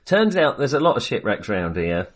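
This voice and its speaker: A man's voice: mid-range voice, very animated, moderate pace. A man chatting casually and enthusiastically about films as a podcast co-host, rambling and hesitating as he goes. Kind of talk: casual, chatty film discussion.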